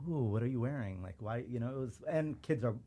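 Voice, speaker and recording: Earnest man with a mellow low voice. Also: lively intonation, quick pace. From suburban Chicago, USA. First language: Urdu. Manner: reflective, earnest, acts out quoted remarks. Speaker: podcast guest, civil rights lawyer. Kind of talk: casual interview conversation.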